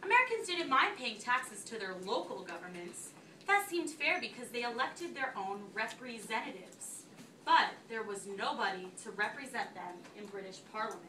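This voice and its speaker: A woman teacher reads aloud to her class in a high, bright voice. She is instructive and often turns to questions to check understanding. The recording is echoey, with the mic at a distance and faint noise behind her. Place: school classroom.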